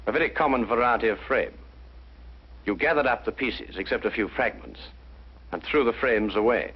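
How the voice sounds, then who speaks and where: A man's voice: mid-range voice, slightly rough, natural voice. Man playing a composed vintage detective, muffled recording. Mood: calm, assured. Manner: precise, deliberate, interrogating. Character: brilliant, composed male detective, methodical and courteous. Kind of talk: 1950s detective drama acting. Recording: muffled 1950s broadcast, music underneath.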